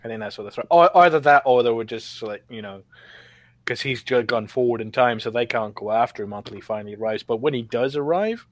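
A man's voice: medium pitch, a little fast, dry recording. A man on a podcast panel, explaining things tentatively and conversationally in a casual discussion of a video game.

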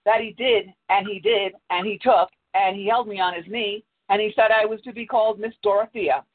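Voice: medium pitch, steady tone, moderate pace, lightly acted. Small proud chattering child, read out by a storyteller; tinny line, barely acted.

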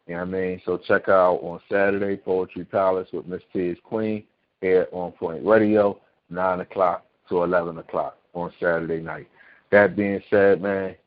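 A man's voice: low voice, dry recording. A man hosting a call-in radio talk show, laid-back and slangy as he wraps up the hour. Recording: dry, dull lo-fi audio.

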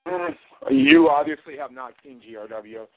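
Mocking gravelly man on a phone line. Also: huge pitch swings, moderate pace. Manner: mocking, sarcastic, swings his pitch high to taunt. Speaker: wrestling federation champion cutting a promo. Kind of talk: trash-talking wrestling promo over a call.

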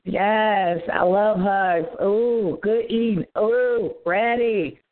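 Soft-voiced woman on a tinny phone line. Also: medium pitch, extremely slow. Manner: chatty, quotes herself and others. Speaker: interview guest, spoken word poet. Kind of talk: casual interview chat, storytelling. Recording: tinny phone-line internet radio sound.

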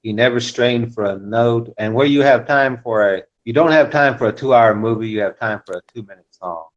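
A man's voice: medium pitch, fairly quick. A man speaking casually as a tour guide being interviewed. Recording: dull lo-fi audio.

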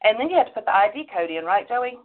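A woman's voice: fairly high pitch, very animated, fast talker, narrow, tinny audio. A middle-aged woman co-hosting a call-in show, chatting casually to listeners in a folksy, emphatic way over band-limited call audio.

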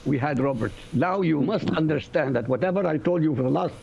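A man from Iraq, a native Arabic speaker with an Iraqi Arabic accent, hosting a talk show in an emphatic, animated way. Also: on the high side, fairly quick, gravelly. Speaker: host. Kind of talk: casual talk show chat. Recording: dull lo-fi audio with faint noise.

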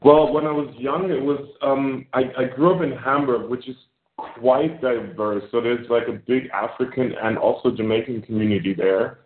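A man's voice: medium pitch, fairly even intonation. Man on a tinny, echoey call. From Germany. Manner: reflective, halting with many fillers. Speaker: filmmaker guest. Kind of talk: casual interview conversation. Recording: phone-like echoey remote call.

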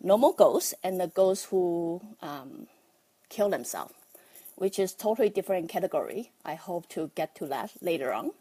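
A woman from Taiwan with a Taiwanese accent, talking casually on a livestream, halting with many ums and nervous laughs. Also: lowish voice, animated, dark tone, breathy edge. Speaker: livestream host, life coach.

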